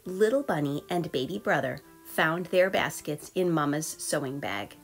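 A low-voiced woman reads a picture book to young children. She is expressive and measured in her phrasing, and soft music plays underneath. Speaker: storybook reader. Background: soft background music.

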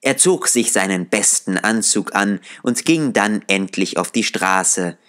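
A man narrating an audiobook in a calm, measured storytelling voice. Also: lowish voice. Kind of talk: scripted narration.

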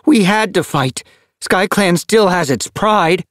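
A man's voice: high-pitched, expressive, slow pace, a bit bright, no echo, studio quality, pitched above the performer's own voice, exaggerated voice. A man voicing a proud, fierce young female warrior cat in a storybook reading, speaking in a defiant raised voice.

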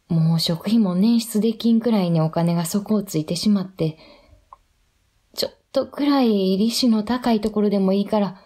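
A woman acts an anxious but supportive Kansai wife in a radio drama. Her voice is mellow and a little husky, that of a mother worn by hard times. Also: medium pitch, close to an everyday voice.

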